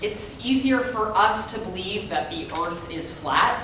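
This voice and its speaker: A woman's voice: animated, measured pace, close to an everyday voice. A woman performing rehearsed dramatic narration as a reader's theater performer. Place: large echoey room, distant microphone. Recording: very echoey hall, distant mic, faint rumble.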